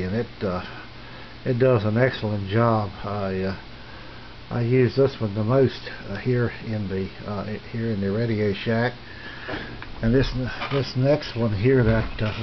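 Halting man on muffled, hissy camcorder audio. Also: fairly low pitch. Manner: halting, rambling, unscripted. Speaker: radio hobbyist host. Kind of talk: casual handheld video tour. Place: small indoor home radio room. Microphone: handheld camera's built-in mic.

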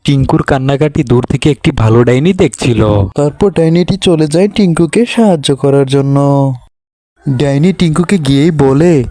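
A man's voice: a little high, moderate pace, mellow. A man narrating a scripted children's cartoon story. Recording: music behind the voice.

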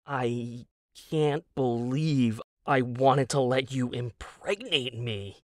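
A man's voice: medium pitch, slow, dry recording, clean studio sound, lightly acted. A man voicing an exasperated, scornful young wife in an audio drama, with a biting, emphatic and incredulous delivery.

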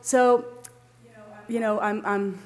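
A middle-aged woman from Greece with a Greek accent gives an academic seminar lecture in a halting way, searching for words and correcting herself. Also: medium pitch, fairly even intonation. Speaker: academic lecturer, landscape architect. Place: indoor lecture room, at a lectern.

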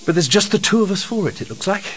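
A man's voice: sits high, animated, quick pace. An actor playing an earnest, polite young man, a defiant would-be poet in a period radio drama. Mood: polite, awkward. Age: young adult.

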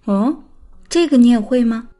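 A woman's voice: a little high, lively intonation, moderate pace, mellow, natural voice. An actress playing a playful, caring, feisty young woman in an audiobook drama, teasing and coaxing like a big sister. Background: background music.

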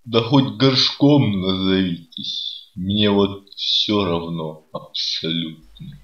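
Man playing a deep, slow, dour nihilist. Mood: sardonic. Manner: unhurried, sardonic, deadpan. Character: dour, contrary, slow-witted-sounding nihilist radio guest. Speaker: radio guest. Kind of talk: comedy radio sketch acting. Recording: roomy, dull lo-fi sound.

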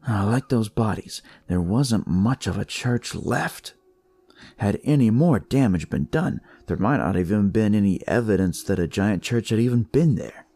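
A man playing a jaded, crude police detective, narrating his noir story in a wry, world-weary, conversational way with a gravelly, husky voice. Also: on the low side, dark tone, no echo, full character voice. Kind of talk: noir first-person scripted narration.

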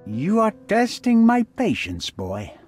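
Husky, menacing crime boss. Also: male voice, high-pitched, wildly swooping pitch, darkish tone, soft background music, full character voice. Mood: threatening, haughty. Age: middle-aged. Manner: formal, deliberate, imperious. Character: menacing, haughty middle-aged male crime boss. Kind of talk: dramatic video game acting.

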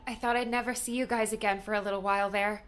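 Candid young daughter played by an actress, wry and conversational, medium-pitched, for audiobook drama. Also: female voice, steady tone, fast, fairly bright tone, natural voice. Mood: relieved, affectionate.

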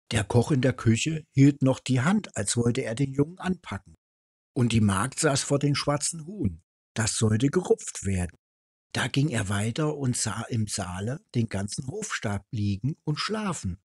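A man's voice: mid-range voice, darkish tone, slightly rough, no room sound, studio quality. A man reading a fairy tale aloud as a storyteller.

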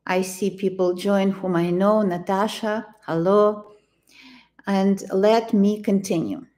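A woman in her sixties with a Ukrainian accent, giving a tarot reading in a halting, deliberate, gentle way. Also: medium pitch, steady tone. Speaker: tarot reader. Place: living room, indoors.

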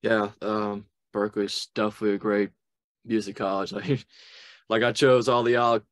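A man, hosting a casual interview in an informal, halting way. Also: fairly low pitch, level tone, dry recording, clean studio sound. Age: 20s. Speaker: webcast host, interviewer. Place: plain indoor room. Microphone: video call.

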